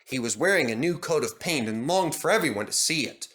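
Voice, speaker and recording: A man reading a children's story as narrator, in an expressive storytelling manner. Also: fairly high pitch, a little fast.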